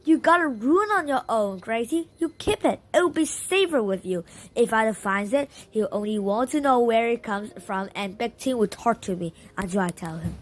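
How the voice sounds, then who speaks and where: A woman's voice: fairly high pitch, expressive, normal speed, natural voice. A woman reading the part of an eager, earnest young island boy in a storybook, plain-spoken and persuading. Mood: practical, persuading.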